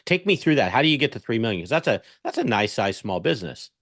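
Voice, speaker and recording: A man's voice: mid-range voice, very animated, fast talker, a bit bright, no echo, clean studio sound. A man hosting a podcast, asking long, upbeat questions. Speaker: podcast host. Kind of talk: podcast interview.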